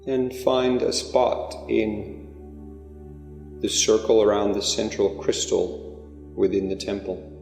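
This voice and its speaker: A man leading a spiritual guided meditation, speaking in slow, deliberate phrases with long pauses. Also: lowish voice, fairly even intonation, creaky, a little mellow. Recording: echoey hall-like reverb under music. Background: music.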